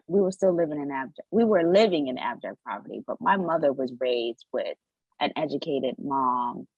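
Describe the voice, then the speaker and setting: Husky young woman, earnest podcast talk. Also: sits low, no echo, highs cut off. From United States. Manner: earnest, repeats words while finding her point. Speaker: podcast co-host. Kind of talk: casual discussion.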